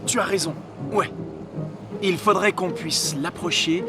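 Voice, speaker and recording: An actor playing an earnest, solemn young male hero in an animated fairy tale. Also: high voice, measured pace. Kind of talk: animated cartoon dub. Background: background music.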